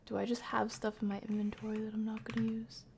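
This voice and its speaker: A woman doing casual live commentary on a horror game, talking to chat in slang and thinking out loud as she reacts. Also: a little high, monotone, fast talker, gravelly, slight background noise. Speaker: game streamer.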